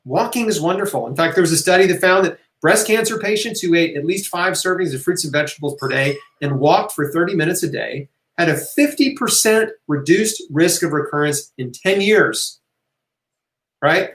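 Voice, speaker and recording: A man's voice: high-pitched, expressive, normal speed, a bit bright. A man hosting a casual live question-and-answer talk, speaking informally and emphatically, encouraging listeners and repeating key phrases. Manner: informal, conversational, checks in with "right?" and "okay?". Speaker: host of a live health Q&A. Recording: roomy, echoing room sound.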